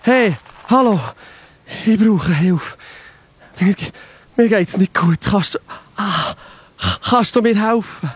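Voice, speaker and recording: High-pitched wounded traveller, Swiss German. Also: male voice, animated, husky, dry recording, exaggerated voice. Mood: desperate pleading. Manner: halting, groaning, stammering. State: in pain. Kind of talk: children's audio drama.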